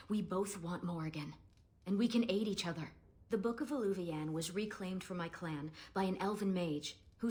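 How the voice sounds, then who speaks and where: A woman's voice: lowish voice, a little mellow, lightly acted. An actress playing a proud, guarded young elven woman in a fantasy game, speaking earnestly and formally. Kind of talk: scripted fantasy game dialogue.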